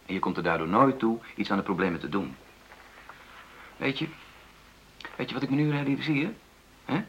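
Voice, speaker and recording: An actor in a radio drama playing an earnest, conciliatory middle-aged husband who stammers and searches for words in a creaky voice. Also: male voice, mid-range voice, expressive, normal speed, dull audio, a little hiss or hum, natural voice. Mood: earnest, searching. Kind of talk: naturalistic radio drama dialogue.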